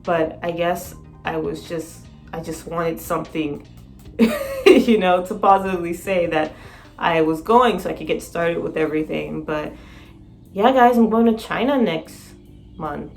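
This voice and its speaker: A sleep-deprived woman with a raspy voice, chatting excitedly in a casual vlog. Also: lowish voice, measured pace, soft background music. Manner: excited, rambling, laughs often. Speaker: vlogger.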